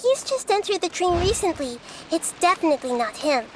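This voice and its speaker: A woman voicing a small, girlish goddess who is calm and wise, speaking in a measured, reasoning way in a video game. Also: very high-pitched, a little hiss or hum.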